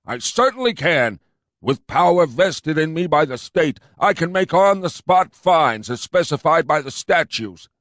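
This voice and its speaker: A man's voice: on the high side, sing-song, a little slow, a bit bright, dry recording, studio quality, voice pushed up. A man voicing an immensely fat, officious police commander who talks in a pompous, overbearing way, in an audiobook reading.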